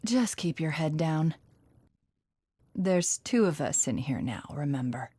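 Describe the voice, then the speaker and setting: A woman voicing a wry, loyal female artificial intelligence in a video game, in an intimate, expressive manner. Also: sits low, slow, husky, no room sound, exaggerated voice. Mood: tender, wry. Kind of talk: video game cutscene acting.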